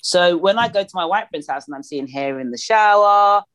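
Woman guest on a podcast over a video call, swoopy lively voice, blunt and funny. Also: lowish voice, moderate pace. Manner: frank, joking, animated storyteller.

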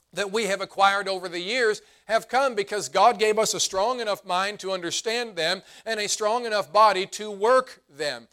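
A man preaching a sermon as pastor, his voice hoarse and fading, earnest, slowing down for emphasis. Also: sits high, a bit bright. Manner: earnest preaching, slows and drops to emphasize points.

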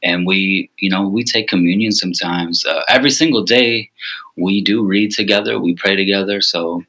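A man with a low voice, a podcast guest talking earnestly and making an effort to speak up. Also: moderate pace, bright, forceful tone, poor audio. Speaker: guest, ministry co-founder. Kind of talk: casual podcast conversation.

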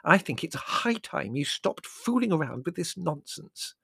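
A small but formidable mother acted by a male reader, lifted in pitch. She is brisk, stern and clipped, the no-nonsense matriarch of a comic storybook family. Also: big pitch swings, vocal fry, bright, forceful tone, slightly rough, no echo, clean studio sound, heavily acted.

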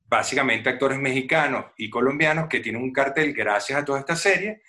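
Un hombre venezolano con acento venezolano, actor invitado en una charla de podcast, que explica con detalle y de forma animada. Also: male voice, medium pitch, fairly quick, sharp tone. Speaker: actor guest. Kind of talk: casual podcast interview.

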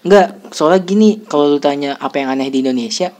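Joking young man, slightly higher voice. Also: fast, darkish tone. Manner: joking, laughs often. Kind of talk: casual joking group chat.

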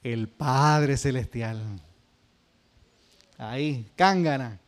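A man's voice: medium pitch, drawn-out words. A man with a Puerto Rican accent preaching a sermon as pastor, talking to the congregation in a conversational way and asking them questions. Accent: Puerto Rican Spanish. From Puerto Rico.

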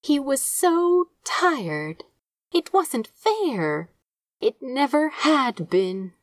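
A woman reading a story aloud as an audiobook narrator, measured and expressive in her scripted delivery. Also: sits high, dry recording, clean studio sound. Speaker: fan fiction audiobook narrator.

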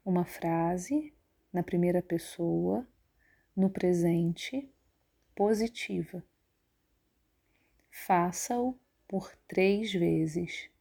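A woman leading a guided yoga nidra relaxation in a calm, measured, instructive way. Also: on the low side, creaky, slightly rough. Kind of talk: guided relaxation meditation narration.

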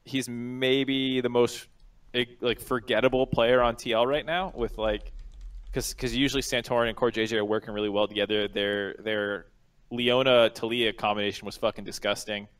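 A man's voice: medium pitch, steady tone, normal speed, a bit bright, smooth. A man giving casual analysis as an esports panelist, with loose, slangy, sometimes profane phrasing. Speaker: panelist on an esports talk show.

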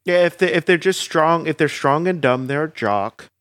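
A man's voice: on the high side, lively intonation, moderate pace, a bit bright, no echo. A man chatting casually as co-host, rambling and hesitant, full of fillers. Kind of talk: casual chat.

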